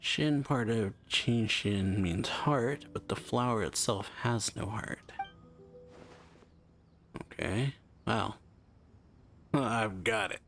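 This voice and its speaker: A woman's voice: very deep voice, slow, long pauses, slight background noise. A woman casually reacting to a game as a streamer, giving brief, laid-back responses. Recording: music behind the voice.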